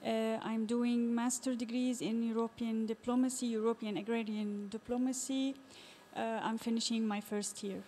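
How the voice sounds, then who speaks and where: A woman from Syria, a master's student, speaking softly and hesitantly. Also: fairly high pitch, level tone, normal speed. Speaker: student panelist. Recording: distant mic with faint noise.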